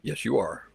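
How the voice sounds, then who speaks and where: A man hosting a livestream, chatting casually with viewers and reacting to their comments, sometimes switching into learner's Spanish. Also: no echo. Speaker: livestream host.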